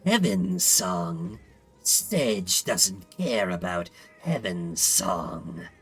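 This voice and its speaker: A ravenous villain from a video game, acted out by a man. The voice drops low and creeps along slowly, savoring each menacing phrase with gloating hunger. Music plays behind it. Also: sing-song, a bit bright, heavily acted. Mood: gloating menace. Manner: slow, drawn-out, menacing. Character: ravenous, gloating villain who feasts on soldiers.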